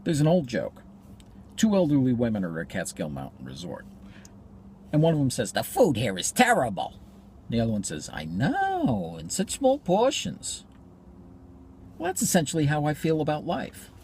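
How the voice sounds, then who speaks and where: A man's voice: fairly high pitch, huge pitch swings, normal speed, darkish tone, a little hiss or hum, pitched above the performer's own voice. A man performing a comic monologue as a neurotic, pessimistic comedian with wry delivery. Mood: wry gloom. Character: neurotic, gloomy male comedian musing on life.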